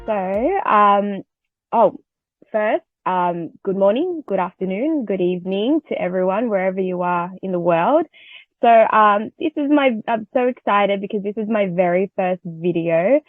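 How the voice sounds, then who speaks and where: A woman hosting a casual interview in an excitable, giggly manner. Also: medium pitch, unhurried, dry recording. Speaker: interview host. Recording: dull lo-fi audio, music behind.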